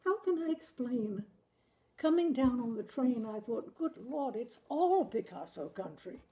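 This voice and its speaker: Woman reading as an earnest, dreamy husband, mellow-voiced. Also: on the high side, big pitch swings, a little slow, voice pushed up. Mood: patient, earnest. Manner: earnest, musing, patient. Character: earnest, art-obsessed American husband, dreamy and wistful. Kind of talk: acted dialogue in story reading.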